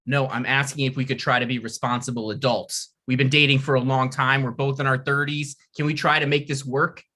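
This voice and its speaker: A man reads a hapless writer in his mid-thirties who pleads awkwardly with his partner. His voice is bright and sharp-edged, with an ordinary pitch. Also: level tone, a little fast. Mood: pleading. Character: struggling male TV writer, thirty-six. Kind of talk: table read.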